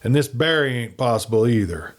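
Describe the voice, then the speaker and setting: A man's voice: medium pitch, lively intonation, brisk, natural voice. A man voicing a wry, needling western outlaw who talks in a folksy frontier way, for an audiobook. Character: Hal Purvis, wry, needling male outlaw.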